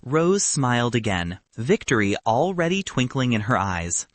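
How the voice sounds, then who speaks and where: Male audiobook narrator for light comic fiction: wry, expressive pitch movement, dry studio-like sound. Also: unhurried, no echo.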